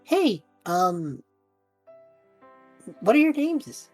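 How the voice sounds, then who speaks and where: A woman's voice: fairly high pitch, big pitch swings, drawn-out words, a little mellow, soft background music, heavily acted. A woman playing an awkward, wide-eyed young male time traveller in improvised tabletop role-play, rambling eagerly. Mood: awkwardly friendly.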